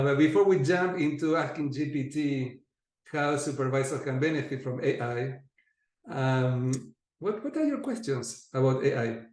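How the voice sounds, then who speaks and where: A man with an Argentine accent leads an online group meeting over a video call. He sounds lively and inviting and often restarts his sentences as he goes. His voice carries a roomy echo around it. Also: medium pitch. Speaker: host and facilitator.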